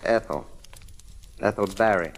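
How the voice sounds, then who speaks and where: An actor with an American accent playing an evasive, defensive murder suspect who speaks hesitantly in an old radio drama. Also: male voice, fairly low pitch, unhurried. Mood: evasive, nervous. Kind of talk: 1940s-50s American radio detective drama acting. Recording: noisy old radio recording.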